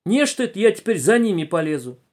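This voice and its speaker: A man's voice: high voice, sing-song, quick pace, a little mellow, voice pushed up. A man voicing an elderly village farm woman in a story, lamenting and grumbling. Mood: plaintive grumbling.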